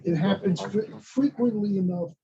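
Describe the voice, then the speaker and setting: A man's voice: sits high, normal speed, gravelly, dark tone. A man with an accent casually explaining a medical story in a rambling way. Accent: non-native English. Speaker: study partner. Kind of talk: casual chat. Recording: dull lo-fi sound.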